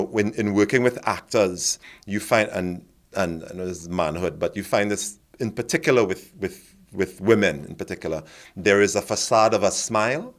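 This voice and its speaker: Deep-voiced man from Trinidad, reflective. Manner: thoughtful, repeats words while building a point. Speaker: actor and acting coach. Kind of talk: reflective panel discussion.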